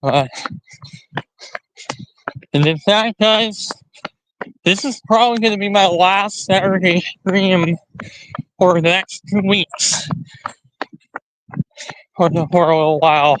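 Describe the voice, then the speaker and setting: Slow, halting man chatting on a livestream. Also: sits high, a bit bright, no room sound, rough recording. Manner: rambling, halting, repeats and restarts phrases. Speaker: live stream host. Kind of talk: casual livestream chat to viewers.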